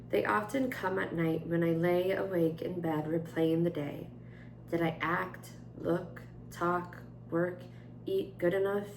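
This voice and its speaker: Raspy, tired young woman vlogger. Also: on the low side, roomy sound, a little hiss or hum, rough recording. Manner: chatty with frequent fillers, gentle and candid. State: tired, voice strained. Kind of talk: casual chat and journal reading. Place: indoors at home, at a table. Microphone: camera on tripod.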